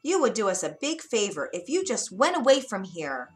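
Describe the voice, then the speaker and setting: A woman voicing a mean, mocking young duckling bully in a loud, taunting manner for a storybook. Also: medium pitch, big pitch swings, moderate pace, faint music behind, lightly acted. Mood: scornful.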